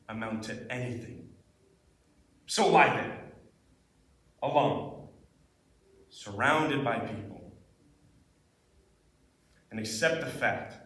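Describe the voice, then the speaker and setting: In a very echoey room, away from the mic, a man performs a bitter, despairing stage character. The high voice speaks slowly and intensely, leaving dramatic pauses. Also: distant mic, full character voice. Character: despairing, bitter person confessing a hard truth.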